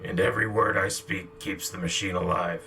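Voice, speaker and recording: A man's voice: sits low, fairly even intonation, moderate pace, sharp tone, roomy sound, distant mic, slight background noise. A man playing a disillusioned, bitter soldier and reluctant propaganda hero in a sci-fi audio drama. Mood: bitter, weary.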